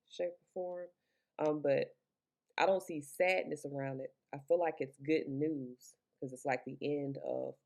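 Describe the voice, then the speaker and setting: Deep, gravelly woman reading tarot. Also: dark tone. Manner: rambling, chatty, swears casually. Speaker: tarot reader. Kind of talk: casual spoken tarot reading. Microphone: Bluetooth earbuds.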